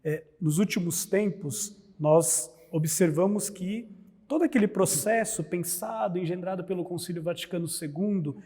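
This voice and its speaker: A man's voice: high-pitched, normal speed, mellow, slightly rough. A man from Brazil, a priest and liturgy scholar, explaining earnestly in a lecturing way with rhetorical questions. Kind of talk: explanatory religious talk. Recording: long hall reverb.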